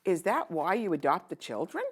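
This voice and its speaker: A woman voicing a gentle, eager orphan girl in a children's storybook, with an earnest, timid manner. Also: lowish voice, big pitch swings, normal speed, breathy edge, voice pushed up. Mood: earnest, wondering. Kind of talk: children's storybook read-aloud.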